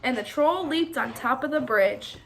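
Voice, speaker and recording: A woman's voice: high-pitched, expressive, normal speed, fairly bright tone, a little hiss or hum. A woman reading a storybook aloud to children in an interactive narrating style.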